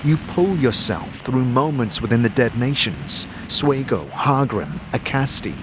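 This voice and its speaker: A man giving scripted fantasy narration in a dramatic, measured storytelling manner. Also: mid-range voice, background noise. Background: music.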